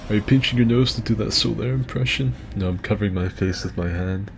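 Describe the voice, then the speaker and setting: A man streaming and chatting casually, rambling and self-deprecating, with a gruff voice. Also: fairly low pitch, a little fast, a little hiss or hum. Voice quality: gruff, gravelly. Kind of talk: casual chat with viewers.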